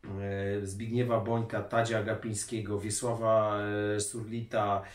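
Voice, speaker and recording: A man in his forties interviewing casually, with halting, searching phrasing. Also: lowish voice, little pitch movement. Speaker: interviewer, host. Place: small office, indoors. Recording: echoey room, distant microphone.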